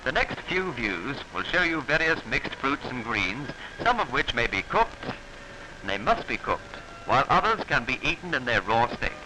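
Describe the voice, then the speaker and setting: A man's voice: medium pitch, very animated, noisy background. Man narrating a 1940s training film. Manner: instructive, formal, measured diction. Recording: old 1940s film soundtrack, music behind.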